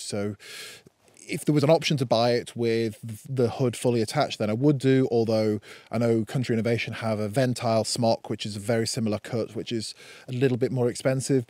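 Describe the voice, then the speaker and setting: A British man giving an informal gear review to camera in a measured, explanatory way. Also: mid-range voice, a little mellow, no room sound. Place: outdoors in damp woodland, under a tarp and by a campfire.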